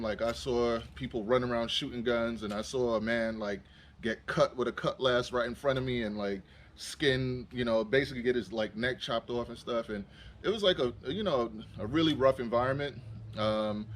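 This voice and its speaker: A man's voice: medium pitch, slight background noise. A young adult man from Trinidad and Tobago, telling a rambling personal story as a vlogger, full of fillers and restarts. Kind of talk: casual storytelling. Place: in a vehicle.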